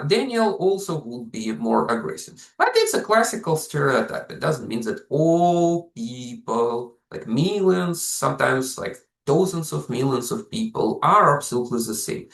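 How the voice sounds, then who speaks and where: A Russian-accented man lectures on psychology with a lively, rising-and-falling delivery. He explains things step by step, often pausing on "so" and "uh". The recording carries a noticeable roomy echo. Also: fairly high pitch, creaky. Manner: explanatory, uses frequent "so", "uh" and "like". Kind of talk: university lecture.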